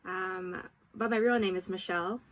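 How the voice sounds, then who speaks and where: A woman in her twenties from Hawaii, a guest in a casual podcast interview, talking easily with fillers and soft laughs. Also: fairly low pitch, steady tone, moderate pace. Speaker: guest, English teacher and content creator. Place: home near a train line in Tokyo.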